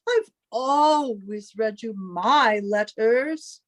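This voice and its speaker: Possessive older sister woman acting, high-voiced and slow, guilt-tripping in theatrical radio-drama style. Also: animated, dry recording. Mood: stern, prying. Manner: theatrical, reproachful, wheedling. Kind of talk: old-time radio melodrama acting.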